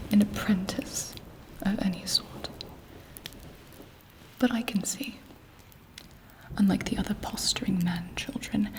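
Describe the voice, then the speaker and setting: A woman voicing an imperious, scheming vampire queen in a breathy whisper, haughty and seductive, as an ASMR roleplay. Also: mid-range voice, darkish tone, close to an everyday voice, rough recording. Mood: conspiratorial. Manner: slow, haughty, seductive, measured pauses.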